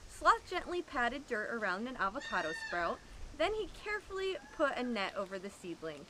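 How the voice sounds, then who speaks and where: A woman reading a children's picture book aloud, with expressive, drawn-out emphasis. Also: a little high, slight background noise, rough recording. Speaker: storyteller at an animal sanctuary.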